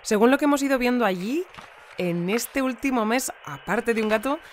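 An actress playing a polite, composed female news presenter, speaking earnestly and in measured phrases in an audio fiction bulletin. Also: fairly high pitch, a little hiss or hum, close to an everyday voice. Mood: curious, polite.

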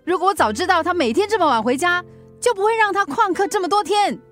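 A strict, exasperated mother in a radio drama, speaking. She interrogates and scolds, quick to anger, over faint music. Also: very high voice, animated, a bit bright. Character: strict, exasperated working mother of a teenage girl. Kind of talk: Mandarin radio drama acting.

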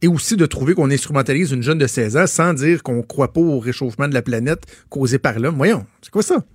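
A man's voice: medium pitch, brisk, slightly rough, no room sound, studio quality. Quebec radio man, chatty and laughing. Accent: Quebec French. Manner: laughing, animated storyteller. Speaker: radio co-host. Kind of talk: casual radio banter. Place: radio studio. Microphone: studio mic.

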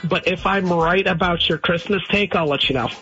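A man's voice: high-pitched, sharp tone, muffled recording, soft background music. A man calling in to a sports radio show, rambling and repeating himself as he gives his takes. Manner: rambling, halting, repeats words. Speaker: caller. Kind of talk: call-in chat.

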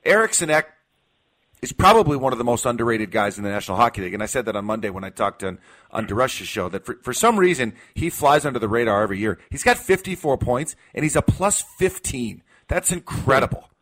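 An American man hosts a sports talk show with a medium-pitched voice. He argues his points emphatically, repeating key phrases for weight. His delivery is that of an opinionated radio host. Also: very animated, quick pace, a bit bright.

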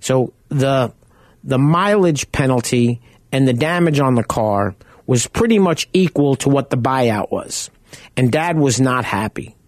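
A man with a loud voice hosts a radio talk show. He chats casually and rambles through personal stories in a middle pitch. The sound is dry and close, as if he is right at the studio mic. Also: animated, unhurried, no room sound. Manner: conversational, rambling, anecdotal.

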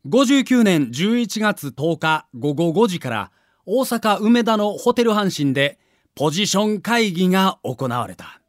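A man's voice: high-pitched, lively intonation, measured pace, dry recording, clean studio sound. A man reading a scripted documentary narration with a theatrical storyteller's delivery.

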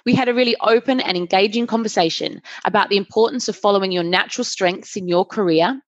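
A woman's voice: mid-range voice, fast talker, no room sound, muffled recording. A woman hosting a podcast, reading a scripted intro and then interviewing her guest with effusive, enthusiastic praise. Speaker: podcast host.

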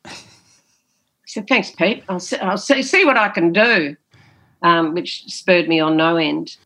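Emphatic woman, lowish voice. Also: unhurried, a bit bright. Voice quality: big theatrical voice. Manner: emphatic, repeats key phrases, frequent "you know". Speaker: former politician, interview guest. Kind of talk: interview answers, reflective storytelling.

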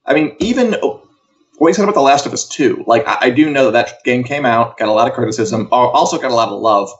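A man's voice: mid-range voice, lively intonation, quick pace. Man chatting, slightly dull audio. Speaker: co-host of an entertainment news show. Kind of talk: casual conversational pop-culture chat.

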